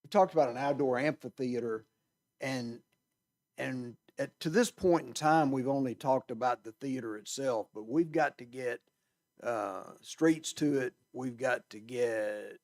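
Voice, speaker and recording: Council member, fading hoarse voice, quiet and courteous. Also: male voice, medium pitch, unhurried. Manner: soft-spoken, courteous questioning. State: losing his voice. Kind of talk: council meeting questioning.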